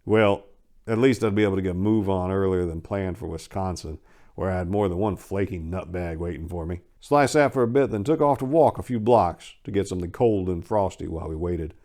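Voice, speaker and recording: A man giving a dramatic first-person reading of a short story in a wry, sardonic and expressive manner. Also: sits low, fairly quick. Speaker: story narrator.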